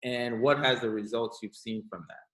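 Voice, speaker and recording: A man's voice: medium pitch, fairly even intonation, moderate pace, fairly bright tone, echoey room, rough recording. A man in a casual training session, speaking a bit hesitantly as he invites others to share. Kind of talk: casual group training talk.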